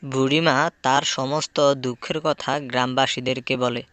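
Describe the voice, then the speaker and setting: A man's voice: mid-range voice, fairly even intonation, unhurried, a bit bright, dry recording. A man reading scripted folktale narration for a cartoon.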